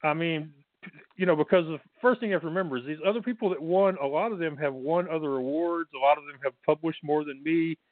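A man's voice: fairly high pitch, fast talker, no echo. A man from Texas with a Texan accent, a guest author chatting casually from his bedroom, rambling with fillers and restarts. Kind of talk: casual interview. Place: bedroom at home. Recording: tinny phone line.

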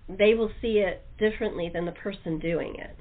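A woman's voice: mid-range voice, a little fast. A woman hosting a casual panel chat, talking informally and in a rambling way as she prompts others. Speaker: host and radio-play producer. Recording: phone-like narrow-band sound.

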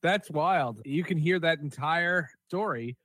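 A man's voice: a little high, unhurried, fairly bright tone, no echo. A man hosting a podcast in casual chat, with an informal, exclamatory way of talking. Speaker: podcast host.

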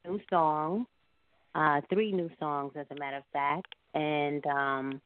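A woman's voice: deep voice, fairly even intonation, takes it slowly, clean tone. A woman being interviewed as a singer, answering conversationally and reflectively at some length over a phone call. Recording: narrow-band, phone-like line.

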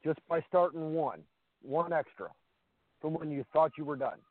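A man's voice: on the high side, normal speed, no room sound. Upbeat coaching man in his thirties. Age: 30s. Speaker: radio show co-host. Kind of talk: casual radio chat. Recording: dry, tinny phone-like line.

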